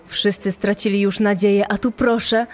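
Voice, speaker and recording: An actress playing a patient, hopeful search official who speaks in a consoling, measured way in a dramatized re-enactment. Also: female voice, medium pitch, steady tone, slight background noise, lightly acted. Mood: reassuring.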